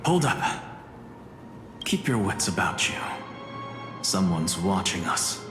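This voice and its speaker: A man's voice: low-pitched, very animated, takes it slowly, heavily acted. An actor playing a harsh, haughty male scholar with a scornful, imperious manner, in an anime-style video game. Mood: commanding. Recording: long hall reverb, music behind. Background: game music.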